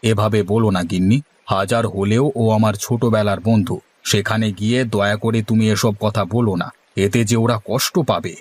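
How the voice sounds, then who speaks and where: A man's voice: lowish voice, steady tone, fairly quick, lightly acted. A man playing a mild, polite village husband in a cartoon story, with a conciliatory way of talking. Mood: placating. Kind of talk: Bengali cartoon story dialogue.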